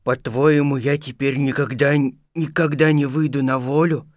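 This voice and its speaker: A man's voice: mid-range voice, a little slow, fairly bright tone, dry recording, clean studio sound, lightly acted. A man playing a naive, greedy, good-natured toy bear in a children's audio tale, hesitant and childlike. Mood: plaintive.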